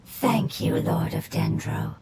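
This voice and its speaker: A woman's voice: low voice, slow pace, creaky, slight background noise, full character voice. A woman voicing a solemn, devoted otherworldly spirit in a video game, speaking formally and reverently. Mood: solemn, grateful. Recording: loud music over the voice.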